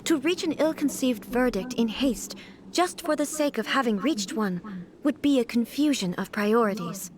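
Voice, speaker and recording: A woman voicing a reclusive, contemplative female deity in a video game, speaking in a measured and articulate manner. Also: fairly high pitch, animated, lightly acted.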